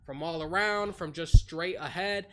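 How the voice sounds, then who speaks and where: A man's voice: a little high, measured pace. A young man at his home streaming setup, casually explaining audio settings into a desktop microphone, in a plain talking manner. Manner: plain, explanatory, drawls some words. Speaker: streaming tutorial host. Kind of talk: casual screen-recorded tutorial. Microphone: desktop USB condenser mic.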